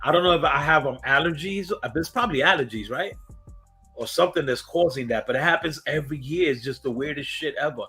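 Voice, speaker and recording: A man hosting a casual livestream, raspy and coughing, hyping up chat with sing-song shout-outs. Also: fairly high pitch, quick pace. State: coughing fits. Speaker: host. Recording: loud hip hop music behind. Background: hip hop music.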